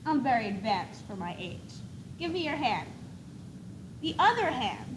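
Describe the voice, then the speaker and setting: A woman's voice: on the high side, sing-song, a little slow. A female student actor playing a bossy, precocious baby girl doll who questions bluntly in a stage comedy. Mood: bossy, matter-of-fact. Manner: blunt, questioning, know-it-all. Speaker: student actor in a school play. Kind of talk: stage one-act comedy acting. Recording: noisy 1985 school video tape.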